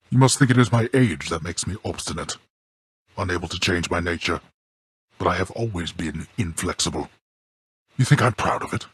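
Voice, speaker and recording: An actor playing a stern, proud old god of the underworld and gruff father in a video game, speaking in a formal, archaic, imperious and curt way with a deep, gravelly, husky voice. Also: fairly bright tone, no room sound, studio quality, full character voice. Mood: grudging, solemn. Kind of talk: video game character acting.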